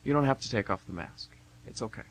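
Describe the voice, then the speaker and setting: A man's voice: mid-range voice, fast talker, natural voice. A man playing a nervous, kindly, awkward young man on a date in an amateur radio play, hesitant and earnest. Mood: earnest, reassuring. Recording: hissy, humming amateur radio broadcast.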